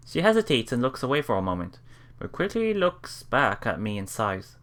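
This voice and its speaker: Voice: medium pitch, sing-song, normal speed, fairly bright tone. A let's player reading visual novel text aloud and musing casually on it as they go. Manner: musing, often thinking aloud.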